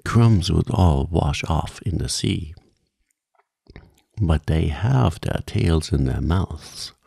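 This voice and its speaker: Storybook turtle, read by a man: languid, melancholy, slow and husky. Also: extremely low pitch, animated, warm tone, dry recording, heavily acted.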